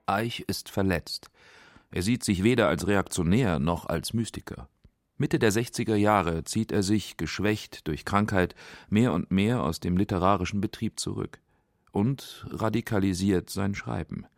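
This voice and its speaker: Low-voiced male documentary narrator, dry sound. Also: a little slow, dry recording. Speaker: narrator of radio feature.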